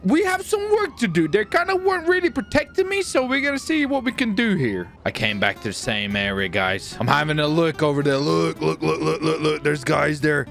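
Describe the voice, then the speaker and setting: Male gamer, very animated and high-pitched, theatrical roleplay commentary over music. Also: vocal fry. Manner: theatrical, playful, repeats phrases rapidly. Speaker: streamer playing a clone gang leader. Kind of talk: excited game roleplay commentary.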